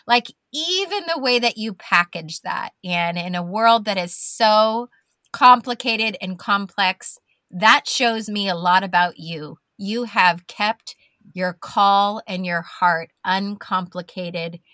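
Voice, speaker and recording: A woman hosting a faith-based podcast interview, speaking effusively and warmly praising her guest. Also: mid-range voice, dry recording, clean studio sound. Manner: effusive, praising, drawn-out phrasing. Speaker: podcast host.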